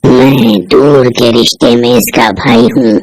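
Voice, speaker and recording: A man's voice: medium pitch, little pitch movement, slow pace, background noise, lightly acted. A man voicing a shape-shifting snake who is a protective, commanding adoptive brother in a cartoon folk tale. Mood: reassuring.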